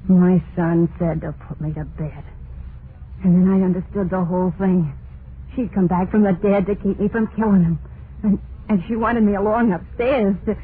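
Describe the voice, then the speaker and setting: An actress playing a terrified, unhinged wife in an old-time radio horror monologue, halting and overwrought, full of guilt and dread. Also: female voice, medium pitch, fairly even intonation, close to an everyday voice. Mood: horrified. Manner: halting, overwrought, drawn-out dramatic delivery. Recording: noisy narrow-band vintage radio recording.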